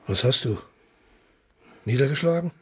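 A man's voice: mid-range voice, animated, moderate pace, noisy background, close to an everyday voice. An actor in a German radio drama playing an impatient, commanding male composer with an urgent, exhorting, theatrical manner. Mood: exhorting, frustrated. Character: Bach, impatient, commanding male composer driving his musician.

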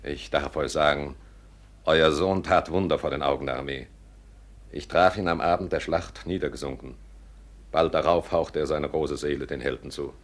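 An actor playing a gruff conspirator posing as a humble soldier in a classic radio drama, speaking in a grave, declamatory way. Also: male voice, rumbling low, normal speed. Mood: solemn, sorrowful.

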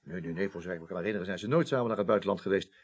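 An actor playing an evasive office superior under questioning in a Dutch radio play, hesitant, rambling and defensive. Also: male voice, sits low, very fast, husky. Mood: hesitant recalling.

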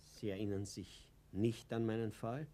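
A man's voice: lowish voice, flat delivery, normal speed, warm tone, lightly acted. An actor playing an anxious, humble older man from Vienna, hesitant and pleading, in a German radio play. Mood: disappointed, halting.

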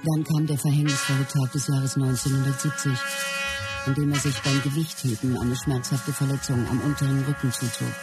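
An actress playing a wife who recalls life with her husband in a measured, composed radio drama narration. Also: rumbling low, steady tone, reverberant, loud music behind the voice. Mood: reflective. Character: Bruce Lee's wife, reflective woman recalling their life.

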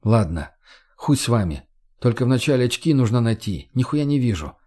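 A man playing a self-assured, curt gang leader in an audiobook, speaking in a dry, calm, crude way. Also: medium pitch, moderate pace. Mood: resigned, firm. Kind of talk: audiobook character voice.

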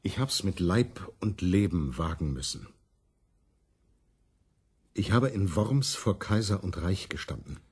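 An actor in a German radio play voicing a stubborn, self-righteous religious reformer who declaims dogmatically and sides with the princes. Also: male voice, low-pitched, animated, slow, pauses often, breathy edge, exaggerated voice. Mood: proud.

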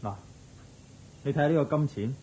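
An actor playing a humble, apologetic goldsmith's son in a radio drama, earnest and meek, speaking Hong Kong Cantonese. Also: male voice, fairly high pitch, normal speed, dark tone, lightly acted, low-quality recording. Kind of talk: Buddhist story radio drama acting.

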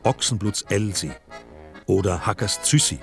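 Ein Mann als Erzähler eines Hörspiels, der bedächtig und dramatisch eine Dorfgeschichte vorträgt. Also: male voice, on the low side, creaky, over music. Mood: brooding, suspenseful. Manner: slow, deliberate, dramatic. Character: grave male storyteller of a village tale. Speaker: narrator. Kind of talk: radio play narration.

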